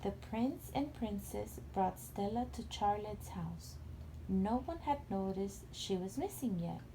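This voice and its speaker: A woman's voice: mid-range voice, lively intonation, slow pace, background noise. A woman reading a storybook aloud as a mother would at bedtime for a young child.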